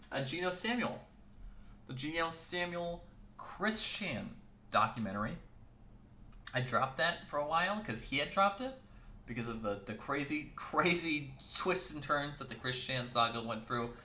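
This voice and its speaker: A man hosting a weekly casual chat show, talking in a rambling way with lots of fillers and restarts, his voice hoarse. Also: a little high, sharp tone, reverberant, a little hiss or hum. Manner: rambling, filler-heavy, self-correcting. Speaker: host.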